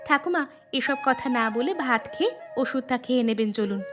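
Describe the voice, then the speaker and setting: A woman's voice: high voice, expressive, fairly quick. A woman voicing a caring, dutiful young village woman in a moral-story cartoon. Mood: gentle, caring. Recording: loud music under the voice.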